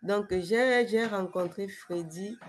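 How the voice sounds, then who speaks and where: A young adult woman whose voice is worn down by a cold talks over a video call. She stumbles often, restarting phrases and filling pauses. Her pitch sits in an ordinary middle range. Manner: hesitant, many fillers and restarts. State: sick with a cold, voice affected. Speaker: webinar guest, former client. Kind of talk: casual testimonial on a video call.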